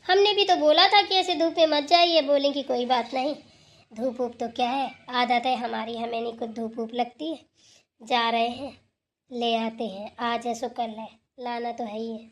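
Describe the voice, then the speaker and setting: Woman playing a calm, high-voiced village daughter-in-law. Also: moderate pace, close to an everyday voice. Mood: matter-of-fact. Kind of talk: Hindi village family cartoon acting.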